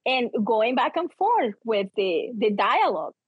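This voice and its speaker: High, husky woman with an accent. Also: big pitch swings, a little slow, no room sound, muffled recording. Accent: non-native English accent. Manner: emphatic, theatrical, quotes own thoughts aloud. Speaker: interview guest, book co-author, teacher and performer. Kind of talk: animated personal storytelling in an interview.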